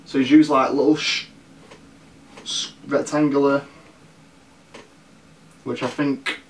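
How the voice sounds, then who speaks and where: An eighteen-year-old man with a Northern English accent from Leeds, talking casually and haltingly to camera as a fashion vlogger. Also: mid-range voice, little pitch movement. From Leeds, northern England. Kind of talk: casual product review to camera. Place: small bare room indoors. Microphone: camera mic at a distance. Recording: echoey room, distant mic, background hiss.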